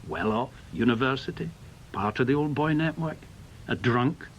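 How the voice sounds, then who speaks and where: A man's voice: mid-range voice, animated, moderate pace, a little hiss or hum, lightly acted. Blunt, bitter veteran British spy played by a man. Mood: scornful. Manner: blunt, emphatic, scornful. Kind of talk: radio drama acting.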